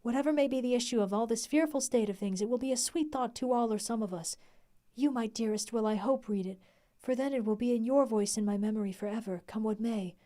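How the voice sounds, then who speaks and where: A woman's voice: on the high side, quick pace, mellow, natural voice. A woman voicing a devoted young wife who speaks earnestly and solemnly of her own death. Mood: solemn pleading.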